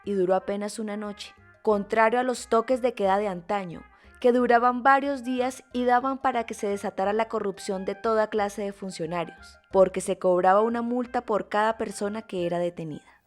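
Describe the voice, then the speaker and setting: A woman's voice: mid-range voice, steady tone, a little fast, faint music behind. A woman reading scripted narration as a podcast host.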